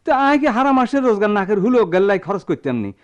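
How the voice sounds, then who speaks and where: A man's voice: sits high, big pitch swings, quick pace, highs cut off. A man acting in a radio drama as a garrulous, stubborn village husband, thrifty and pleading, with a Noakhali accent. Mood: pleading, haggling. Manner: rustic, insistent, pleading.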